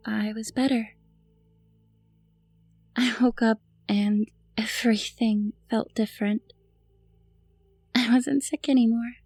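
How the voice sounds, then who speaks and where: Woman acting a high-voiced, guilt-ridden vampire mother. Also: a little mellow, no echo. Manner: hesitant, halting, pleading. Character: guilt-ridden newly turned vampire mother, pleading, grieving. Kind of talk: cinematic audio roleplay acting.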